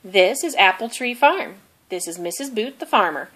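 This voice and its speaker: A woman narrating a children's storybook aloud in an expressive, deliberate way. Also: mid-range voice. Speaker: storybook reader.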